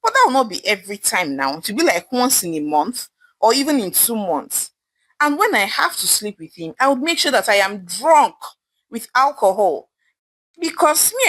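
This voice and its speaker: A woman's voice: medium pitch, a bit bright, no room sound. A woman voicing a proud, scornful young gold digger in a folktale, boastful and dismissive, with a Nigerian accent.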